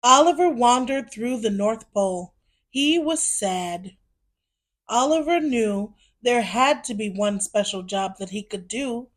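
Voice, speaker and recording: A woman reads a children's story aloud, narrating expressively and deliberately for young listeners. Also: fairly high pitch.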